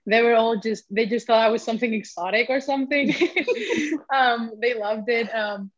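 A young woman with a Spanish accent, a native Spanish speaker, chatting as a student guest on a casual podcast. She laughs often and fills pauses with "um". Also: fairly high pitch, steady tone, no room sound, dull audio. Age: young adult. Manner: giggly, chatty, many ums.

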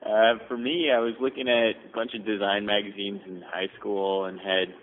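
A man's voice: fairly low pitch, little pitch movement, normal speed. A young man from Toronto, a guest designer, answering loosely with lots of "sort of" and "like" hedges. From Toronto, Canada. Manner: loose and hedging, with many "sort of, like". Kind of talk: casual interview answers. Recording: tinny phone line with faint hiss.

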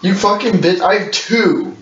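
A man's voice: high-pitched, measured pace. A man playing a tabletop role-playing game, chatting casually in a blunt, grumbling, sweary way. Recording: roomy, muffled distant mic with clicks, hiss.

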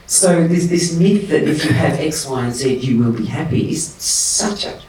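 A man speaking as an interview guest, impassioned and emphatic, his pitch jumping up when he gets animated. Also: normal speed. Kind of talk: conversational interview. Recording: roomy and distant with faint noise.

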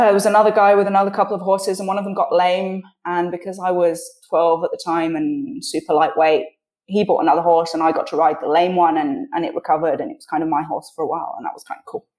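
A woman from Switzerland with a posh British accent, chatting casually as a podcast guest and often pausing to think mid-sentence. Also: on the low side, flat delivery, darkish tone. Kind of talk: casual interview chat. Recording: roomy echo on the voice.

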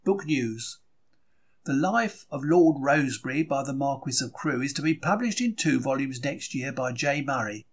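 A man's voice: medium pitch, lively intonation, moderate pace, breathy edge. A man reading a diary as a chatty, wry 1930s London bookseller, droll and anecdotal in manner. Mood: wry, matter-of-fact. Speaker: diary reader.

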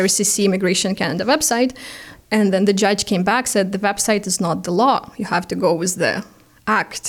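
Ukrainian lady on a podcast, native ukrainian speaker w accent, chatty, explains stuff step by step, middle pitch. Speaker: guest, immigration consultant. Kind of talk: conversational podcast interview, explaining.

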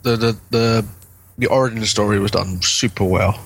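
A man's voice: fairly low pitch, fairly even intonation, moderate pace, breathy edge, rough recording. A man co-hosting a podcast, chatting casually and informally with friends. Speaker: podcast co-host. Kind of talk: casual chat. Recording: noticeable background noise.

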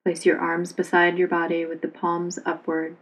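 A woman yoga instructor leading a guided relaxation meditation in a calm, soothing, unhurried manner. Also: on the low side, low-quality recording.

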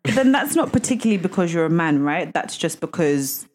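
A woman co-hosting a podcast in casual chat, bubbly and quick to laugh, whose first language is Yoruba. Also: lowish voice, expressive, a little mellow. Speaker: podcast co-host.

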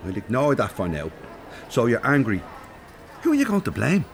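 An actor playing a blunt, teasing older Irish rogue in an audio drama, colloquial and cheeky. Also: male voice, medium pitch, huge pitch swings, moderate pace, slight background noise. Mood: coaxing.

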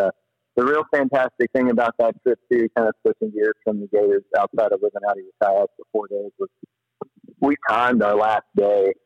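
A man telling stories casually as a podcast guest, in an animated, slangy, rambling way. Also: fairly low pitch, no room sound, muffled recording. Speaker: podcast guest, paddler and former river guide. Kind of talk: casual storytelling in an interview.

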